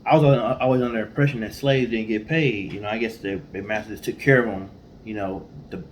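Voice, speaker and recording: A man's voice: lowish voice, steady tone, fast, slight background noise, rough recording. A man co-hosting a casual chat show, reacting to a story with informal, colloquial opinions. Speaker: podcast co-host.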